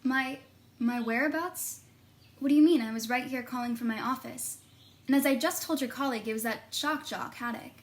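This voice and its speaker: Casting: actress playing a determined female investigative journalist, earnest, a little flustered, fairly high voice, naturalistic audio drama. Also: moderate pace, natural voice. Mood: alarmed. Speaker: actor and narrator in an audio drama. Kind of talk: podcast-style narration and naturalistic drama dialogue.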